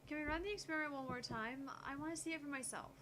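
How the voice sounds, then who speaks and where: Snippy young genius scientist acted by a woman, higher-pitched, analytical, visual-novel dialogue over clear background noise. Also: quick pace, a bit bright. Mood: skeptical. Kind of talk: visual novel character dialogue read aloud.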